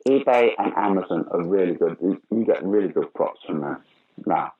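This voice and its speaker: A man's voice: low-pitched, moderate pace, husky. An older man, an actor and pantomime director, chatting through a casual interview and telling anecdotes with acted-out quoted voices. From Britain. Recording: dull lo-fi audio with faint noise.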